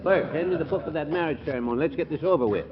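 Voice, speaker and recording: Man acting brusque, high-pitched radio judge. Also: very fast, over loud music, close to an everyday voice. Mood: irritable. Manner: curt, irritable. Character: brusque, impatient male courtroom judge. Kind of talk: 1940s radio comedy acting. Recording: dull 1940s radio recording.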